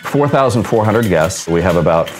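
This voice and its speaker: A man's voice: low-pitched, expressive, normal speed, soft background music, low-quality recording. A man from Seattle, a cruise ship hotel director, explaining operations with pride in a documentary interview. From Seattle, United States.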